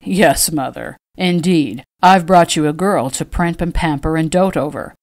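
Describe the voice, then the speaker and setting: A gruff young nobleman from a romantic storybook , acted by a woman reader. His voice sits low, and he talks in a wry, grumbling, teasing way. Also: a little slow, darkish tone, dry recording, studio quality. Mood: wry amusement.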